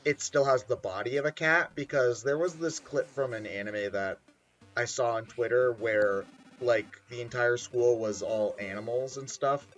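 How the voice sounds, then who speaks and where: A man's voice: mid-range voice, normal speed, fairly bright tone, faint music behind, rough recording. A man giving casual commentary on a game in a group chat.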